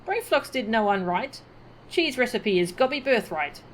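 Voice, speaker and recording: A woman voicing an excitable female goblin scavenger who talks in broken grammar and refers to herself by name. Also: fairly high pitch, normal speed, slight background noise. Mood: boastful.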